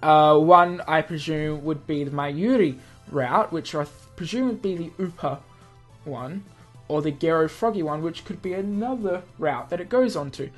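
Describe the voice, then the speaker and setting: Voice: medium pitch, moderate pace, soft background music. A let's play host who reads a visual novel aloud and comments on it in a rambling, self-correcting way.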